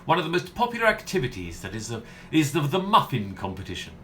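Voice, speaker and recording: A man's voice: fairly high pitch, huge pitch swings, quick pace, fairly bright tone, slight background noise. A man playing a pompous, self-regarding mystery novelist in a theatrical, condescending radio comedy manner. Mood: smugly gracious.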